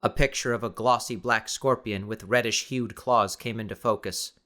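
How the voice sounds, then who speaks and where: Measured male audiobook narrator. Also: fairly low pitch, fairly even intonation, bright, forceful tone. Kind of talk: scripted dramatic fiction narration.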